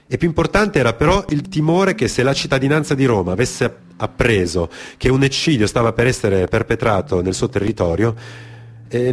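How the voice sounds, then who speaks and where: A man narrating a dramatic monologue for radio theatre, grave and emphatic, in short deliberate phrases. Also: mid-range voice. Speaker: storyteller, actor.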